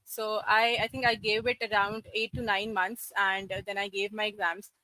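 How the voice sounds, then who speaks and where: A woman in her twenties from Karachi, a podcast guest telling her career story in a steady, articulate, matter-of-fact way. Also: medium pitch, normal speed, no room sound, poor audio. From Karachi, Pakistan. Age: 20s. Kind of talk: podcast interview.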